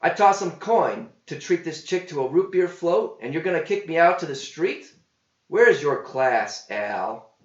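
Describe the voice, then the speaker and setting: Cocky young male drifter for a verse storybook, acted by a man, raised pitch, declamatory, roomy sound. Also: expressive, unhurried. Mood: indignant. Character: cheeky young drifter, smooth-talking and indignant. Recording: roomy, slightly dull home recording.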